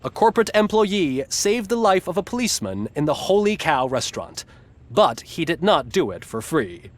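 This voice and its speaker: A man's voice: fairly high pitch, very animated, normal speed. A man playing a glib, satirical TV news anchor in a video game, with a polished, smugly ironic delivery. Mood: wry, polished. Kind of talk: scripted satirical newscast narration.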